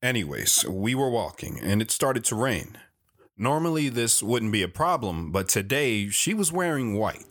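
A low-pitched actor playing a wandering man recounts his life in an audio drama. He is earnest and plainspoken, an awkward romantic who reflects on the girl he loves. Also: sing-song, normal speed, a bit bright, full character voice. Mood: matter-of-fact. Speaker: storyteller guest on a radio talk show. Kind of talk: dramatic first-person audio drama narration.

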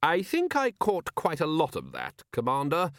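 A suave male ruler as put on by a man talks in a lifted, measured voice. He is polite and unruffled, needling with mock innocence in a comic fantasy audiobook. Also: on the high side, huge pitch swings, fairly bright tone, no room sound, clean studio sound, pitched above the performer's own voice. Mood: polite, dry.

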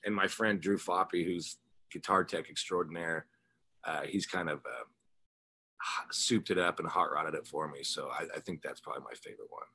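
A man's voice: rumbling low, normal speed, vocal fry, pushed and bright, slight background noise. A man being interviewed as a musician, chatting informally and telling rambling anecdotes. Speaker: musician interview guest, rock singer and guitarist. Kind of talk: casual chat, telling anecdotes.

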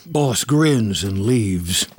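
A man narrating a film script in the present tense with measured, descriptive delivery over ambient sound. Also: lowish voice, animated, slightly rough, no room sound.

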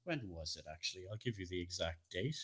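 A man's voice: deep voice, big pitch swings, fast, fairly bright tone. A man co-hosting a casual podcast, talking in a chatty, jokey way. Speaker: co-host, streamer.

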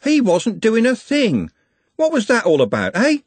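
A man voicing a furious, grief-stricken young street lad who shouts and swears scornfully. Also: high-pitched, huge pitch swings, a little slow, breathy edge, no room sound, pitched above the performer's own voice, exaggerated voice. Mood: furious, anguished.

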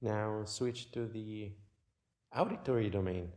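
A man leading a guided meditation in a gentle, unhurried way, leaving long pauses between short phrases. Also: lowish voice. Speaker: meditation teacher.

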